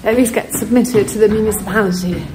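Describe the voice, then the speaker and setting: A woman's voice: medium pitch, fairly even intonation, fast talker, background noise. A middle-aged woman chatting casually into a phone held at arm's length, laughing often and poking fun at herself. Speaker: vlogger renovating a palazzo. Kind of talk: casual vlog chat. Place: city streets at night and a busy bar. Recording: music and crowd chatter behind.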